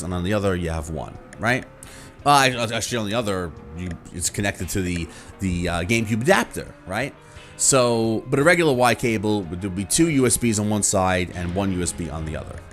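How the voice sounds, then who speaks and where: A man speaks in a fairly low voice over light background music. He explains gaming gear in a casual, conversational way, like a video host working it out as he goes. He often checks in with his viewers as he talks. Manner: conversational, thinking aloud, often checking with "right?".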